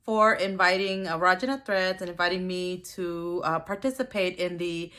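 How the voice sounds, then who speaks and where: A woman in her forties, born in a refugee camp and raised in the U.S., tells her family's history slowly and thoughtfully, with many pauses and fillers. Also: on the low side, sharp tone. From born in a Thai border refugee camp, raised in the U.S. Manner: thoughtful, with many ums and uhs. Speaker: guest sharing family history. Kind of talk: recorded personal testimony.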